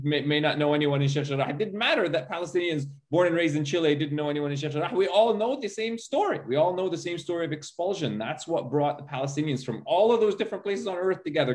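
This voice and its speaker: A man speaking as a scholar on a panel, explaining emphatically in a public Q&A, with stammering starts and repeated phrases for stress. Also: a little high. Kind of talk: impassioned explanatory commentary at a community Q&A.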